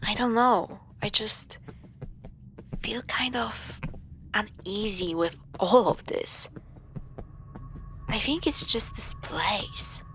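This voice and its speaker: A woman acts a playful, caring young girlfriend in a horror roleplay. Her voice sits a little high and she speaks very slowly, in halting phrases broken by sighs. Background ambience is audible behind her. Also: vocal fry, bright, forceful tone, a little hiss or hum, heavily acted, low-quality recording. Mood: uneasy. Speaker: voice actor in audio roleplay. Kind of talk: spoken roleplay to the listener.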